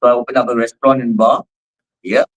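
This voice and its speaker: A man's voice: mid-range voice, flat delivery, fast, rough recording. Singaporean man in his forties. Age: 40s. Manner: rambling, many fillers and restarts. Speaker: guest, fitness trainer and gym owner. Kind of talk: casual interview answers.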